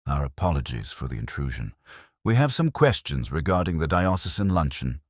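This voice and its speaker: Picture a shrewd, wry police inspector in a storybook mystery, read out by a man, asking questions in a quiet, measured way. The voice sits very deep and a little husky. It sounds close and dry. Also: big pitch swings, no room sound, full character voice. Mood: calm probing. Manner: quiet, measured, lightly probing.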